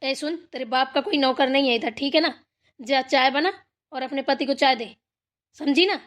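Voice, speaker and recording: A woman's voice: sits high, normal speed, a bit bright. A woman voicing a stern, bossy older village mother-in-law in a cartoon family drama, scolding and commanding bluntly.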